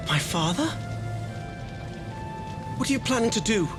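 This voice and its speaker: An actor playing a young heroic prince, earnest and defiant, in a dubbed game cutscene. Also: male voice, high voice, lively intonation, measured pace, music in the background. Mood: disbelieving. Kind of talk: English dub game cutscene acting.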